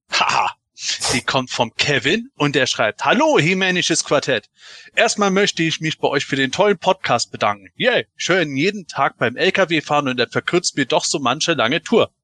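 Bright, forceful male podcast host. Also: on the high side. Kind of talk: casual live podcast moderation.

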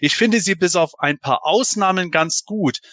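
Bright, forceful male podcast host. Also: high voice, normal speed, no room sound. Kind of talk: casual podcast moderation.

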